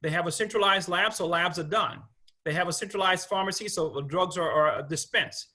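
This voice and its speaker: A man gives a medical lecture in a high, bright voice. He talks loosely and conversationally, restarting phrases and slipping into short quoted remarks as he moves through his slides. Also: moderate pace. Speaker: rheumatologist giving a lecture. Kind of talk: conference lecture over slides.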